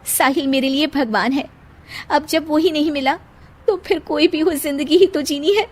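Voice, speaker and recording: Young cartoon bride, acted by a woman: high, plaintive, resigned and fretful. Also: moderate pace, background noise, natural voice. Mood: resigned, sad. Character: sad, resigned, self-blaming young bride. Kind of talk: Hindi moral-story cartoon dubbing.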